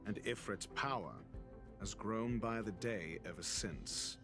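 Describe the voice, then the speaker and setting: An actor playing a cool, calculating investigator in a video game, speaking in a measured, formal, sardonic and theatrical way. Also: male voice, fairly low pitch. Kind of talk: dramatic video game voice acting.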